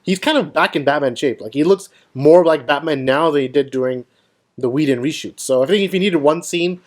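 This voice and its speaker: A man's voice: a little high, a little fast. A man hosting a casual film discussion, explaining his view and putting questions to the panel. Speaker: host of a film discussion show.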